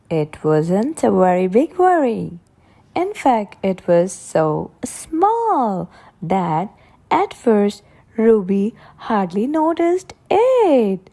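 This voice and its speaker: A woman reading picture books aloud for young children in a deliberate, expressive way, drawing out her words. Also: mid-range voice. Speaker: children's story reader.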